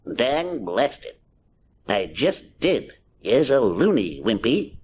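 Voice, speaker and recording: A man's voice: medium pitch, very animated, takes it slowly, a little hiss or hum, voice pushed up. A man voicing a scrappy, plucky cartoon sailor in a storybook reading, muttering in ungrammatical salty talk. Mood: indignant.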